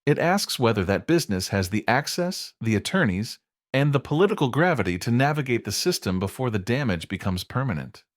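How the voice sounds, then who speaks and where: A man reading scripted explainer narration in a measured, emphatic way, built on short dramatic statements. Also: mid-range voice, very animated, dry recording, clean studio sound.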